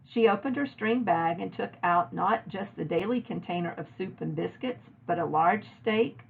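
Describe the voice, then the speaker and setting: A woman's voice: fairly low pitch, normal speed, vocal fry, a little hiss or hum. Phone-like recording of a woman reading aloud. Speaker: teacher reading a chapter aloud. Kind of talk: expressive children's book read-aloud narration. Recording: narrow, phone-like lo-fi audio.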